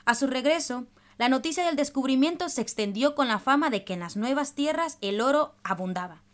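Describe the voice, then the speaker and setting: Higher-pitched woman presenting radio. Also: animated, brisk. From El Salvador. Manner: explanatory, didactic. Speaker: radio programme host. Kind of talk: scripted radio presentation in dialogue.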